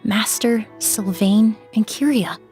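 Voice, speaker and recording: A woman voicing a composed young female investigator in a video game, speaking in a measured, articulate, analytical way. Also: mid-range voice, darkish tone, lightly acted. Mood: resolute. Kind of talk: scripted game dialogue. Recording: background music under the voice. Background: music.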